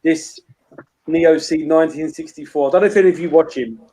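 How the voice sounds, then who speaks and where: A man's voice: fairly high pitch, a little fast, dark tone, slight background noise, poor audio. Rambling man in his thirties. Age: 30s. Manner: rambling, swears freely. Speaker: host. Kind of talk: casual chat.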